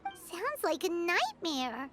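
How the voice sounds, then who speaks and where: A woman voicing a tiny, cute, cheeky childlike female sprite in a video game, squeaky and exclamatory. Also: slow, music in the background, full character voice. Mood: incredulous, cheeky. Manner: exclamatory, blunt, expressive.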